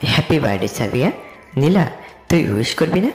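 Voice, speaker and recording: A man's voice: on the high side, big pitch swings, moderate pace. A man playing a chummy, teasing young college friend in an audio story. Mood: cheerful. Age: young adult. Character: teasing, warm-hearted young college buddy, male. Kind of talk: dramatised audio story acting. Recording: echoey reverb with music behind.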